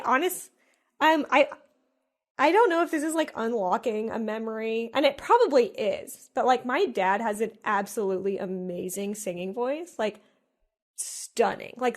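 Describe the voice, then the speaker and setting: A woman co-hosting a podcast, musing in an animated casual chat full of "like". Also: a little high. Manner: animated, musing, heavy use of "like". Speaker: podcast co-host.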